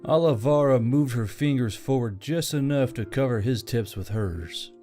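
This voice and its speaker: A man's voice: mid-range voice, moderate pace, mellow, soft background music. A man reading scripted fantasy fiction as a story narrator.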